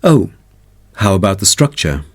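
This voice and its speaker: Guy acting as curious man asking questions in exam dialogue, lowish voice, very animated intonation. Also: normal speed, no echo, clean studio sound. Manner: inquisitive. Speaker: dialogue speaker in listening test. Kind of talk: scripted question-and-answer dialogue.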